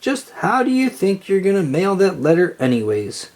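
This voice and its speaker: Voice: medium pitch, very animated, moderate pace, a little mellow, voice pushed up. A storybook reader playing a bossy, scornful young girl, an exasperated big sister.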